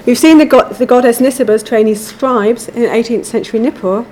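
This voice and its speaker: A woman giving a measured, formal academic lecture from a prepared text. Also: on the high side, darkish tone, slight background noise, poor audio. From England.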